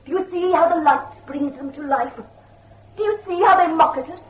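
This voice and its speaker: An actress playing a haunted, timid gentlewoman, speaking formally and dreamily in a gothic horror drama. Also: extremely high pitch, normal speed, highs cut off, a little hiss or hum. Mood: eerie, reverent. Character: haunted, timid gentlewoman living in fear of watchers.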